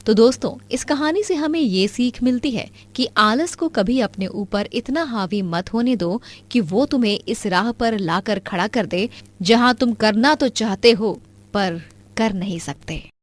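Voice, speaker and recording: A woman's voice: on the high side, expressive, unhurried. A woman reading scripted narration for a children's moral story.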